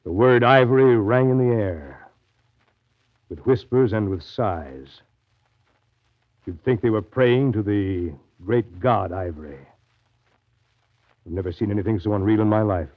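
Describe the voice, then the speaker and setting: An actor playing a riverboat captain recounting a grim journey in brooding, deliberate 1930s radio drama narration. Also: male voice, on the low side, natural voice. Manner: brooding, deliberate, literary storytelling. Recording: dull, lo-fi 1930s radio recording.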